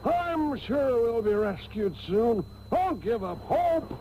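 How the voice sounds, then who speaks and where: An actor playing an elderly, cheerful, loyal manservant in a comic radio soap parody. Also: male voice, very high voice, very animated, slow, mellow, slight background noise, exaggerated voice, rough recording. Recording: music behind, taped radio broadcast.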